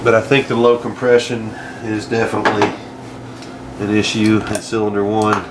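A man giving a casual, plain-spoken, halting hands-on demonstration as a DIY car repair host, working over an engine bay. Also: fairly low pitch, level tone. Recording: clear engine-bay noise and clatter.

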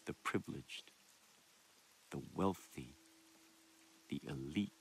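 A man playing a solemn, messianic doomsday cult leader in a video game, preaching in grave, deliberate, rhetorical monologues. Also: rumbling low, a little hiss or hum, heavily acted, poor audio. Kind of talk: dramatic sermon and broadcast monologue.

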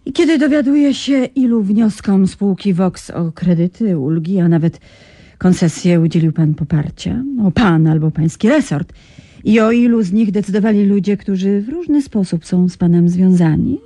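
An actress playing a persistent, self-assured investigative journalist in a radio drama, probing and ironic. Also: female voice, fairly low pitch, moderate pace, dark tone, breathy edge, no room sound. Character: persistent female investigative journalist, shrewd and cool. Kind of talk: 1990s radio drama acting.

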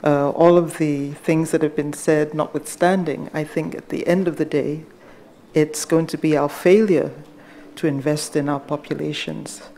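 Middle-aged woman, soft voice, low and mellow, measured panel remarks. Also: slight background noise. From Ghana. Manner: measured, hesitant with frequent fillers. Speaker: conference panelist. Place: large conference hall. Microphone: table microphone.

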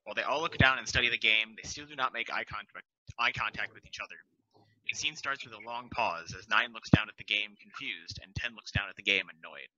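A man's voice: on the low side, brisk, bright, forceful tone, slight background noise. A man plainly reading stage directions aloud at a table read over a video call headset. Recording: dull lo-fi call audio.

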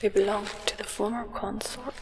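A young woman playing an aloof, solemn acolyte of a vampire sovereign in a soft whispered ASMR roleplay. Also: medium pitch, steady tone, noisy background, close to an everyday voice. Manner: slow, measured, soft whisper. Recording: reverberant whisper with long echo.

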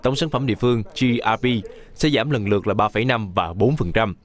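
A man reading scripted news voice-over in a brisk, even newsreading manner. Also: fairly low pitch. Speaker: news reporter.

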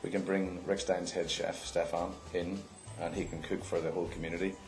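A man's voice: low-pitched, level tone, a little fast, a little mellow, soft background music. A man who helped organise an event chats casually and enthusiastically, reminiscing with tag questions. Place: at the back of a post office.

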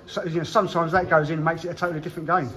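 Higher-voiced man interviewing. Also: flat delivery, racing, a bit bright. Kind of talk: casual post-match sports interview.

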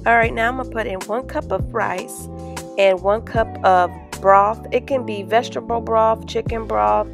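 A woman's voice: on the high side, fairly even intonation, normal speed, creaky. A woman in her thirties hosting a casual home cooking vlog, chatty and upbeat, talking straight to the camera. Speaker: cooking vlogger. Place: home kitchen and small room indoors. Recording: music playing underneath.

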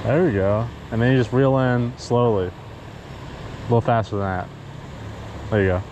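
A man's voice: mid-range voice, normal speed, highs cut off. A young man casually narrating a fishing trip on a river, coaching a friend as he goes. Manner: relaxed, chatty, coaching a friend. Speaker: fishing vlogger. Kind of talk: casual outdoor vlog. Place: river outdoors on a paddle board. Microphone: action camera on the board. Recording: outdoor camera audio with water noise. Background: flowing water.

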